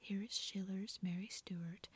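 A woman's voice: mid-range voice, fairly even intonation, a little slow, breathy edge. A woman whispering the part of a kind, encouraging young male tutor in a storybook reading. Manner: gentle, inviting.

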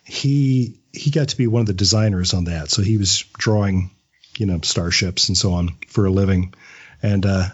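Husky, chatty middle-aged man, dull sound. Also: sits low. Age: 50s. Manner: chatty storyteller, halting with repeated words, chuckles often. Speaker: podcast guest, audio drama maker. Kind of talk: casual interview chat.

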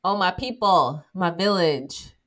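A woman's voice: on the low side, slow, fairly bright tone. A woman guest talking in a candid, emphatic way in a casual interview, swearing freely.

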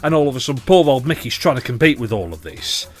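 A man co-hosting a podcast in casual chat, animated and opinionated, quick to joke. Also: mid-range voice, soft background music. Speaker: podcast co-host.

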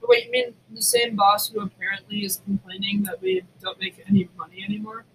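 A woman's voice: mid-range voice, fairly even intonation, fairly quick, fairly bright tone, a little hiss or hum, poor audio. A woman co-hosting a casual podcast chat, speaking in a blunt, sardonic, sweary way. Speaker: podcast co-host.

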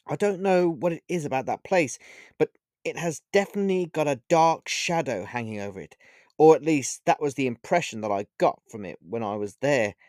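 A man voicing a centuries-old male vampire husband who talks in a flippant, coaxing, lively way. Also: on the high side, normal speed, no room sound, clean studio sound, pitched above the performer's own voice, close to an everyday voice. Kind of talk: storybook character voice.

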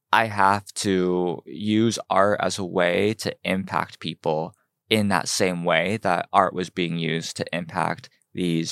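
A young American man speaks in a low, smooth, resonant voice. He tells his story in an earnest, measured way. The sound is dry and close. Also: fairly bright tone, dry recording. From United States (Ohio). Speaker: guest, fashion designer. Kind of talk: podcast interview.

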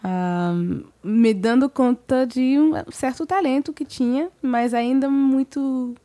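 A woman in her thirties from Montreal, a singer being interviewed, speaking in a soft, measured way. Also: high-pitched. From Montreal, Canada. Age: 30s. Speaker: guest singer. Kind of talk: interview conversation.

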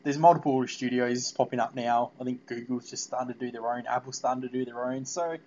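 A man's voice: mid-range voice, fairly even intonation, a little fast, a little mellow. Muffled, rambling man interviewing. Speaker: podcast interviewer. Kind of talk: casual interview chat about video games. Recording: muffled, noisy lo-fi call audio.

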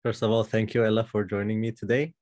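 A man's voice: lowish voice, little pitch movement, quick pace, loud music behind the voice. A man hosting a podcast interview, asking questions hesitantly with many uhs and restarts. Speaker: podcast host. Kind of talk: casual interview chat.

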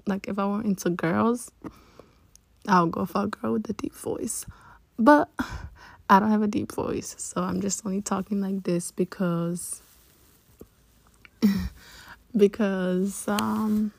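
A young woman in her early twenties chats on her solo podcast in a loose, rambling way, often restarting mid-thought. Her voice is gravelly with heavy vocal fry, lower than her usual after a long day awake. The sound is close and dry. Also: fairly even intonation, slow, pauses often, dry recording. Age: early 20s. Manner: rambling, chatty, many restarts. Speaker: podcast host. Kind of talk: casual solo podcast chat.

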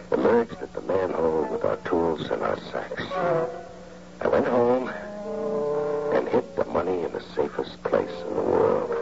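An actor playing a scheming, nervous husband and thief in an old radio drama, smooth-talking and stammering when cornered. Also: male voice, a bass voice, exaggerated voice. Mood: smug, calm. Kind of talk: old-time radio drama acting, narrating and dialogue. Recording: muffled, very noisy old radio recording.

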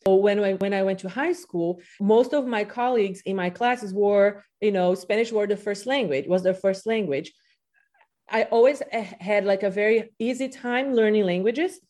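A woman's voice: medium pitch, darkish tone. A woman in her thirties, born in Brazil with Portuguese as her first language, chatting casually as a podcast guest about teaching, with lots of "you know" fillers. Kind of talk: casual interview talk.

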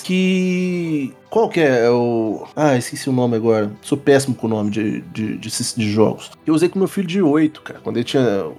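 A Brazilian man with a fairly high, husky voice explains things in a casual podcast conversation. He talks colloquially, repeating himself and tagging on little questions, as music plays softly behind him. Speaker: guest psychologist.

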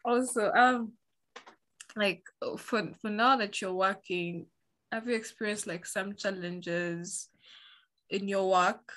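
A woman's voice: mid-range voice, slow pace. Woman from Uganda, mid-range voice, hesitant casual interviewer. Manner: soft, hesitant, with frequent laughs and fillers.

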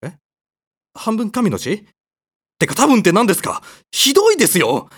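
A man's voice: sits high, wildly swooping pitch, moderate pace, fairly bright tone, breathy edge, heavily acted. A man playing an earnest, timid, kindhearted young hero in an audio drama, polite and easily flustered. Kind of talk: anime-like audio drama acting.

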